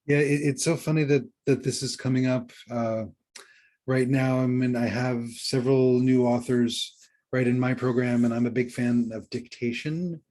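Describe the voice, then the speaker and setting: A man co-hosting a podcast in a conversational style, somewhat halting and repeating phrases for emphasis. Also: mid-range voice, steady tone, a little mellow. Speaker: podcast co-host and book coach.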